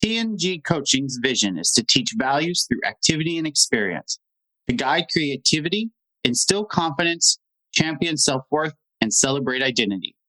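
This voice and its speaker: A man speaking as the host of a podcast, addressing his listeners in clear, organised phrases. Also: medium pitch, big pitch swings, moderate pace, sharp tone, rough recording. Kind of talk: conversational podcast hosting.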